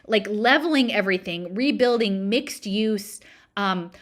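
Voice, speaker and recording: A woman's voice: medium pitch, lively intonation, measured pace. A woman presenter giving an informal explanatory talk, digressing and thinking aloud as she goes.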